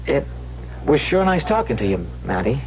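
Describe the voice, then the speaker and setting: Young swindler, muffled radio drama. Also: male voice, mid-range voice, big pitch swings, moderate pace, a little mellow. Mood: bashful, friendly. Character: smooth-talking young male small-time swindler, feigning boyish shyness. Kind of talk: old-time radio drama acting. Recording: muffled old radio recording, faint hum.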